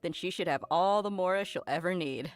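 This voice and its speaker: A woman's voice: lowish voice, a little fast. A woman co-hosting a game update program, reacting enthusiastically with giggles. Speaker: program co-host. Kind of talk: scripted co-hosting.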